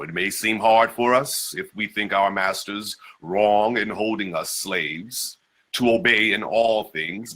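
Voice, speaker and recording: A man giving a slow, solemn dramatic reading of an old address and poem. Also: mid-range voice, bright, forceful tone. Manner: slow, measured, solemn recitation. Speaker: reader of historical texts.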